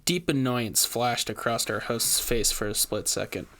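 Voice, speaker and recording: A man narrating a scripted first-person gothic mystery story in a measured, brooding manner. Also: medium pitch, lively intonation, fairly bright tone.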